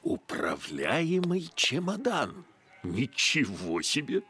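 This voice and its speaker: An easygoing cartoon father, with a mid-range voice that moves up and down a great deal. He sounds wry and gently ironic, an expressive family man. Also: medium pitch, takes it slowly, heavy background noise. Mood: intrigued.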